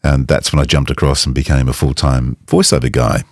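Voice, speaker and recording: Male, 60s, voiceover pro with an extremely low voice, plain-spoken and informal, dry close studio sound. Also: steady tone, fairly quick, dry recording. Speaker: voiceover artist and demo coach. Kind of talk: conversational explainer to camera.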